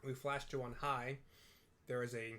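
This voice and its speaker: A man's voice: medium pitch, little pitch movement, a little slow, bright, forceful tone. A man narrating video game cutscenes in an informal, improvised, colloquial way, as audio description.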